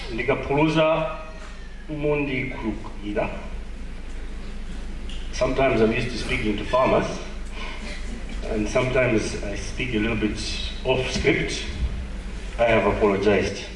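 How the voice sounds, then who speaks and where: A man's voice: mid-range voice, slow pace, long pauses, background noise. Malawian man presenting in an echoey hall. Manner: conversational, self-deprecating, asks the audience questions. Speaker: conference presenter. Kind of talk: conference presentation. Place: conference hall. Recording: echoey hall, distant mic.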